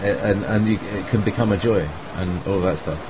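Low-voiced man rambling casually. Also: normal speed. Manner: meandering, many fillers and laughs. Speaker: host of an online group chat. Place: online voice call. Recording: clear background noise.

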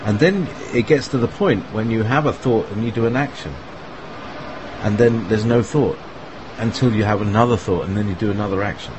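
A man's voice: fairly low pitch, fairly quick. A man hosting an online group chat, talking in a loose, meandering way full of fillers and small laughs. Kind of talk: casual rambling talk. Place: online voice call. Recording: clear background noise.

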